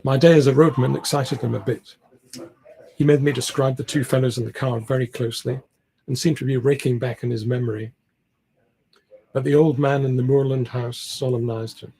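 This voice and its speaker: A man with a slightly husky voice reading a classic novel aloud, now and then stumbling over words. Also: mid-range voice, flat delivery, moderate pace, dark tone, low-quality recording. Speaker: amateur audiobook reader, library team member.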